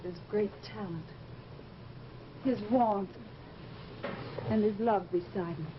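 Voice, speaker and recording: An actress playing a lonely, yearning widow in a vintage radio horror drama, speaking graciously with wistful, dramatic intensity. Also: female voice, mid-range voice, takes it slowly, close to an everyday voice. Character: lonely, yearning widow of a musician, haunted and eerie. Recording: noisy, dull vintage radio recording.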